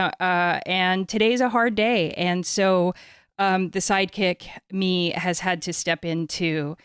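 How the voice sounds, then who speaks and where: A woman's voice: on the low side, a bit bright, clean tone, no room sound, studio quality. A woman hosting a podcast in a chatty, effusive, casual way. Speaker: podcast host.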